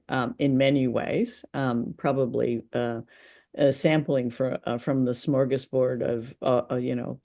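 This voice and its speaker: A woman's voice: a bass voice, warm tone. Woman, podcast co-host, casual discussion, hesitant, thoughtful phrasing.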